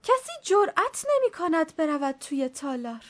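A woman's voice: high voice, sing-song, pitched above the performer's own voice. A woman voicing an old, stooped female servant who is fearful and wily, pleading with her master in a raised voice. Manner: pleading, superstitious chatter.

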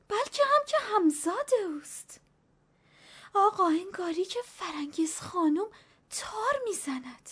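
A high, anxious voice of a stooped old servant woman, put on by a woman reading a story. She pleads in a wily, superstitious way, her voice climbing with fear.

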